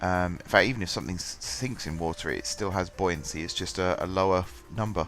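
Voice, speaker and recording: A man talking over his gameplay, sounding bunged up from a cold. His voice sits fairly low, and he rambles through the commentary with plenty of ums and self-corrections. Soft music plays quietly in the background. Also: a little fast. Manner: rambling, many ums and restarts. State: congested with a cold, stuffy voice. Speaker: gaming channel host. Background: faint background music.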